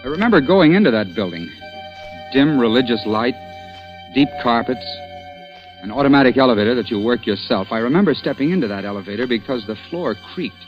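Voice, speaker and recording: A man's voice: medium pitch, animated, brisk, lightly acted. A stubborn, exasperated man about town played by a male actor in a vintage radio thriller. His mid-range voice is clipped and dramatic, in classic 1940s radio style. The recording is dull, with faint music. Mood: grim recollection.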